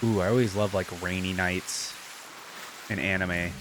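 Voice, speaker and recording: A man's voice: deep voice, steady tone, measured pace, background noise. A man giving casual, enthusiastic, slangy reaction commentary as host of a reaction video, with trailer music behind him. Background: game trailer music.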